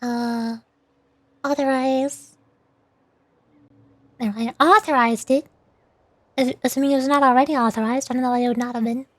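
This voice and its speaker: A woman's voice: high-pitched, steady tone, moderate pace, long pauses, no echo. A woman livestreaming a game, chatting playfully and muttering to herself as she goes. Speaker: VTuber streamer. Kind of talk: livestream chat while gaming, reading game dialogue aloud.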